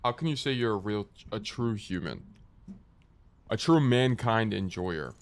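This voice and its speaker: A man's voice: on the low side, lively intonation, measured pace. Young man with a stuffy nasal voice. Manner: rambling, joking, reads chat aloud and answers. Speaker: streamer and game coach. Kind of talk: casual live-stream chat.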